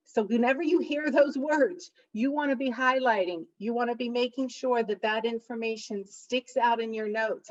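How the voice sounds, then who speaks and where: A woman's voice: on the high side, distant mic, muffled recording. A middle-aged woman, a college instructor, giving a study-skills presentation with an emphatic, big voice that leans hard on key points. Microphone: computer mic for online session.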